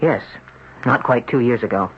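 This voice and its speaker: An actor playing a troubled young man who tells his dark story from a hospital bed, with a weary, brooding delivery in 1970s radio drama style, on a dull vintage broadcast recording. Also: mid-range voice, moderate pace, close to an everyday voice. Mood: reflective. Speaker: lead character, radio drama.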